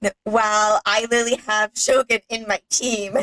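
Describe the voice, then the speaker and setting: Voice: mid-range voice, flat delivery, normal speed, sharp tone, no echo, rough recording. A female-sounding gaming streamer giving casual commentary during a playthrough with a friend.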